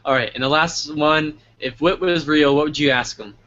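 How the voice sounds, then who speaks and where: Bright-voiced male interview host, lo-fi sound. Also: a little high, moderate pace. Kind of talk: casual live interview hosting.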